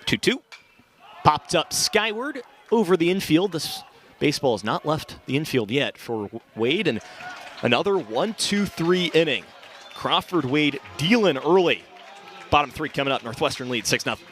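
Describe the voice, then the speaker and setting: A man's voice: a little high, animated, moderate pace, fairly bright tone. A young man doing live play-by-play commentary of a baseball game. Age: young adult. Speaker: play-by-play sports announcer. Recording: outdoor ballpark with background noise. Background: outdoor ballpark ambience.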